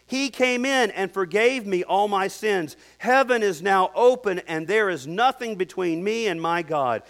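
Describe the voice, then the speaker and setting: A man putting on the voice of a joyful condemned prisoner, speaking excitedly in a raised voice. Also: sits high, measured pace, voice pushed up, full character voice. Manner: excited, exclamatory.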